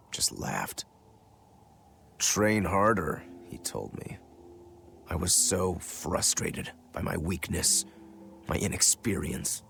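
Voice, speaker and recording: A man's voice: sits low, vocal fry, a bit bright, a little hiss or hum, heavily acted. A man voicing a plainspoken, brooding demon swordsman in dubbed video game dialogue. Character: male swordsman turned demon, brooding, self-critical eldest-rival brother.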